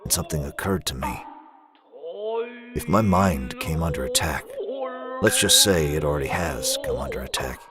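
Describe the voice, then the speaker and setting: Man acting a very deep, brooding vigilante. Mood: guarded, probing. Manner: brooding, grave, deliberate. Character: grim, brooding middle-aged male masked vigilante recounting his ordeal. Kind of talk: motion comic voice acting. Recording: loud music under the voice.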